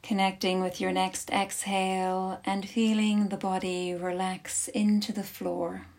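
Slow-paced woman guiding a relaxation class. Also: medium pitch, little pitch movement, vocal fry, darkish tone. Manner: soothing, instructive, with long pauses between short phrases. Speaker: yoga nidra instructor.

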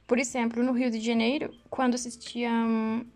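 A woman's voice: on the high side, flat delivery, normal speed, a little mellow. A young Mexican woman, a native Spanish speaker, guesting on a podcast in careful, nervous Portuguese. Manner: nervous, giggly, careful non-native phrasing. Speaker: podcast guest, exchange student.

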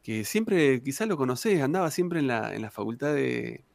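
Gravelly Argentine man telling stories. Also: mid-range voice, very animated, moderate pace, no room sound. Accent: Argentine Spanish. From Argentina, Santa Cruz province. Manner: rambling, chatty, many fillers and tag questions. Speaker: tango singer, interview guest. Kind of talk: casual interview storytelling.

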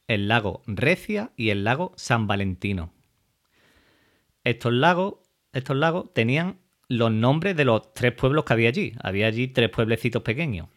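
A man hosting a podcast, talking in a casual, chatty way, with many fillers and restarts. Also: medium pitch. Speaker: podcast host.

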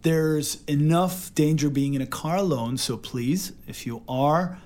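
A man's voice: a little high, a little slow. Upbeat American man hosting a podcast. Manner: animated, laughs often, code-switches into Arabic. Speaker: podcast co-host. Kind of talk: casual podcast chat.

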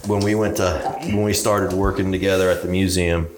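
A man's voice: sits low, little pitch movement, normal speed, reverberant, background noise. A man from Kansas in his thirties, a podcast guest swapping stories in casual chat and laughing often. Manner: laid-back storytelling, laughs a lot.

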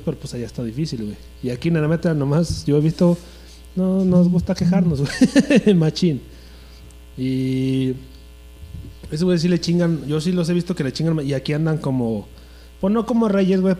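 A man's voice: fairly high pitch, moderate pace, warm tone. A young man with a Mexican Spanish accent, chatting loosely on a podcast and dropping slang into nearly every phrase. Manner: slangy, says "güey" constantly. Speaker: podcast co-host. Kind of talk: casual chat.

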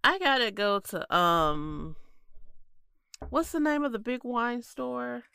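A woman co-hosting a podcast in casual chat, with a stuffy nose and a relaxed, chatty manner. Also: on the high side, slow pace, gravelly, clear, resonant tone, dry recording. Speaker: podcast co-host.

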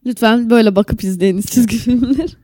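A woman's voice: on the high side, steady tone, fast, warm tone, no echo, studio quality. A woman, co-hosting a podcast in casual, opinionated chat. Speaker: podcast co-host.

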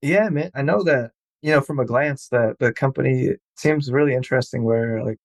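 Gravelly-voiced male podcast host. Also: mid-range voice, moderate pace, dry recording. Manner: loose and chatty, laughs often. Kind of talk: casual interview chat.

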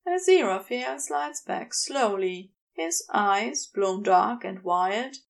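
A woman narrating scripted fiction as an audiobook-style reader, in an intimate, measured storytelling manner. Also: mid-range voice, lively intonation, vocal fry. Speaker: podfic reader.

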